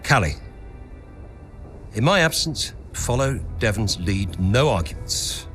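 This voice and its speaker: A middle-aged man playing a stern racing team owner in a game cutscene, speaking in a measured, deliberate, halting way. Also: lowish voice, very animated, fairly bright tone, faint music behind, heavily acted. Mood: commanding. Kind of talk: acted cutscene dialogue.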